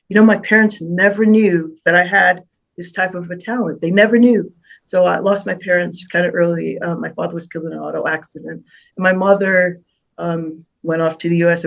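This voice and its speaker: A woman's voice: on the low side, creaky. Bahamian woman telling stories, lo-fi. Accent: Bahamian with American touches. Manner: warm storytelling, laughs often, many restarts. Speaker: guest singer. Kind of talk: casual interview.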